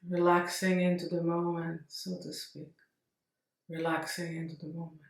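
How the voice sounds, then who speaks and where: A woman leading a guided meditation in a gentle, unhurried manner, leaving long pauses. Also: lowish voice, flat delivery, creaky. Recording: roomy echo, distant mic.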